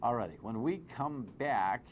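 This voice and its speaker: A man's voice: mid-range voice, expressive, moderate pace, slight background noise. A man hosting a TV talk show, commenting and interviewing off the cuff in an informal, wry, emphatic and slangy way.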